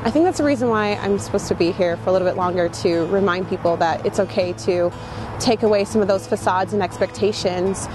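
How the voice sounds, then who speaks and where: A woman's voice: mid-range voice, fairly quick, noisy background. A woman giving reflective, unscripted interview answers.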